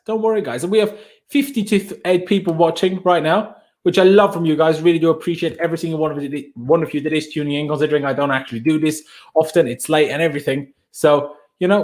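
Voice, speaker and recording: A man from Austria with a slightly raised pitch, hosting a relaxed live stream. He talks in a loose, chatty way and answers viewer comments one after another, calling people bro. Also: fast talker.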